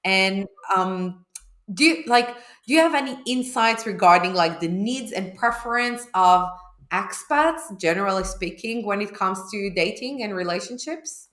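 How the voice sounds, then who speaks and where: A woman's voice: medium pitch, lively intonation, measured pace, a bit bright. A woman talking conversationally as a podcast host interviewing a guest. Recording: echoey room sound.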